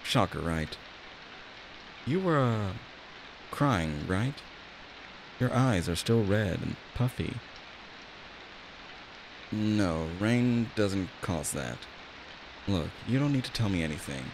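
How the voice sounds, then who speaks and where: A man acting out an ASMR roleplay as a friendly, chatty barista, talking in a gently reassuring way. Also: on the low side, slow, pauses often. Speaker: voice actor in an audio roleplay. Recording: steady rain and hiss behind voice. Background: rain.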